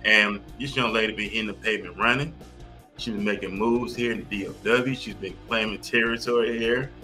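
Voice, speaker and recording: Male podcast host, forceful bright tone, effusive and emphatic delivery. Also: fairly low pitch, fairly even intonation, a little fast, faint music behind. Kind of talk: enthusiastic podcast hosting.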